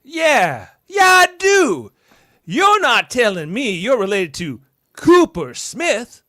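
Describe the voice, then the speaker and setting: A woman acts out a courteous traveling man in an amateur western radio drama. The delivery is slow and very lively in pitch, with a bright, forward tone. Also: dry recording, clean studio sound, heavily acted. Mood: friendly, curious. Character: male starship captain posing as polite drifter. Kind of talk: amateur radio play acting.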